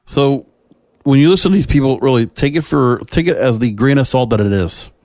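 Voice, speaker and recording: A man's voice: mid-range voice, fairly quick, a little mellow, no room sound, studio quality. A man hosting a solo podcast, giving opinionated commentary in a conversational, emphatic way and addressing listeners directly. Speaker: podcast host.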